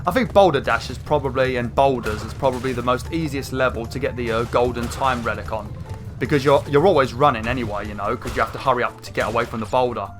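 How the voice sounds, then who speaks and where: A young man with a croaky throat, rambling through casual gameplay commentary with lots of fillers. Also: medium pitch, fast talker. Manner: rambling, chatty, many fillers, excited outbursts. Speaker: gaming channel host. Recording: faint game music behind. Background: game music.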